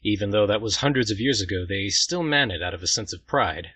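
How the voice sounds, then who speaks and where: A man's voice: lowish voice, animated, a little fast, bright, forceful tone, husky, no echo, heavily acted. A man playing a proud young prince and commander, speaking formally and firmly in a fan audio drama. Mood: matter-of-fact.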